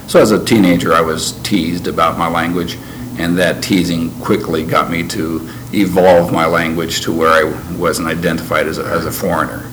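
An older man who grew up in Canada, recalling his past in an interview in a measured, reflective way with frequent pauses. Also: low voice, little pitch movement. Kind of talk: oral history interview. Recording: noisy background ambience.

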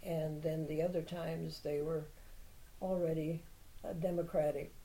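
Slow, mellow-voiced older woman reminiscing. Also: low voice, little pitch movement, poor audio. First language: Czech. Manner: unhurried reminiscing, plainspoken opinions. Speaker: interviewee, Czech heritage club member. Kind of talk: oral history interview.

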